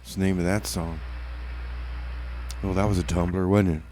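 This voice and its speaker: A man's voice: sits low, flat delivery, normal speed, noisy background. A man hosting a community radio music show, talking casually in a rambling, hesitant way with many fillers and restarts. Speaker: radio DJ host.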